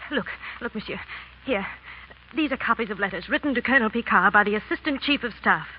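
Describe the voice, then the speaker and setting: A woman's voice: a little high, animated, fast talker, lightly acted. Woman acting as earnest imploring wife, desperate, formal, 1930s radio drama, muffled sound, background hiss. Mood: urgent. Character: desperate pleading Frenchwoman, devoted wife of condemned prisoner.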